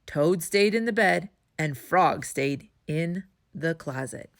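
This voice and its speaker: A woman reading a children's story aloud as a storytime host, with expressive, dramatic emphasis. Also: deep voice, slow, gravelly. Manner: dramatic emphasis, asks listeners questions.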